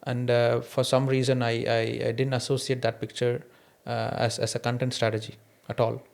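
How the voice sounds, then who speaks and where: Male podcast host, Indian accent, non-native English, mid-pitched voice, self-questioning and candid in conversation. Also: monotone, normal speed, creaky, a little mellow. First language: an Indian mother tongue, not English. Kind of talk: candid conversation, personal anecdotes.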